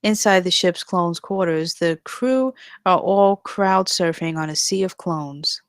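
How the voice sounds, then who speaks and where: A woman's voice: fairly low pitch, measured pace, smooth, no echo, clean studio sound. A woman narrating stage directions at a scripted table read.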